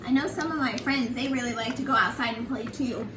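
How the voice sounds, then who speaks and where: A woman reading a picture book to young children like a preschool teacher, in an animated, sing-song, encouraging way with simple questions to her listeners. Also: on the high side, fairly quick. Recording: echoey room, distant mic, noisy background.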